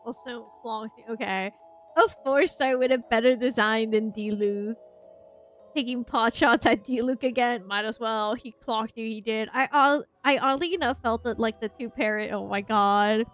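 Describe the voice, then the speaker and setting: A woman streamer giving a live game reaction, chatting casually with excitable, gushing exclamations. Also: on the high side, normal speed. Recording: game music behind.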